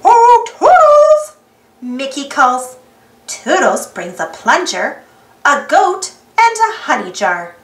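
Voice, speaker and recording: A woman reading a children's storybook aloud in a slow, singsong and very expressive way. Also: sits high. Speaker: storybook reader. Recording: roomy echo, faint background music.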